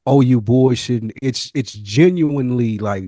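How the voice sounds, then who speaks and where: A man co-hosting a podcast in casual conversation, halting and restarting his phrases as he makes a point. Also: mid-range voice, a crowd in the background. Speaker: podcast co-host.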